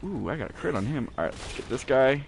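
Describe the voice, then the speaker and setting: Man, laid-back game commentary, deep voice, cheap headset mic, faint hiss. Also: fast, gravelly. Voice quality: naturally deep voice. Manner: relaxed, rambling, frequent fillers and restarts. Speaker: gaming channel host. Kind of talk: casual gameplay commentary. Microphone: cheap gaming headset.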